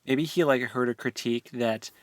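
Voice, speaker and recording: A man's voice: medium pitch, moderate pace, dry recording. A man co-hosting a casual book discussion, thinking aloud and conciliatory toward the other host.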